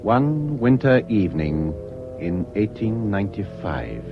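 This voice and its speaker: Deep male radio narrator over music. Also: big pitch swings, slow. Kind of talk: slow, scene-setting radio drama narration. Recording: dull old radio recording.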